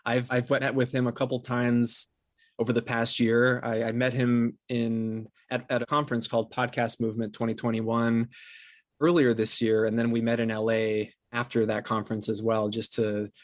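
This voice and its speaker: A man being interviewed as a podcast producer, explaining things conversationally in a halting way with many restarts. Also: medium pitch, little pitch movement, no room sound.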